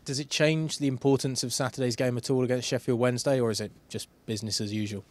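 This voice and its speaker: Male club reporter, mid-pitched, friendly and brisk. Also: fairly even intonation, a little mellow, no echo. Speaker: club interviewer. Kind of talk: post-match interview questions.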